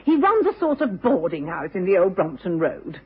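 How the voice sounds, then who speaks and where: An actress playing a deferential, homely London housekeeper in a radio drama, with a British accent. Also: female voice, fairly low pitch, huge pitch swings, moderate pace. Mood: apologetic. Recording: noisy, phone-like old radio recording.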